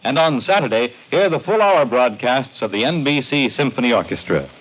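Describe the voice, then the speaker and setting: A man's voice: medium pitch, lively intonation, moderate pace. A man delivering scripted announcements and narration in a vintage radio style. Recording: dull vintage radio recording, music behind. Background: music.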